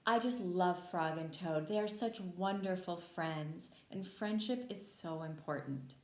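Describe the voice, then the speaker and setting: A woman reading a storybook aloud for young children, with expressive, simple child-directed talk. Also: lowish voice, a little slow. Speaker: pre-K read-aloud host. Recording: echoey room.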